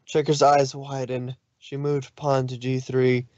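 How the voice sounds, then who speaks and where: A man reading fan fiction aloud as an expressive story narrator. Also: medium pitch, a little slow, no room sound, highs cut off.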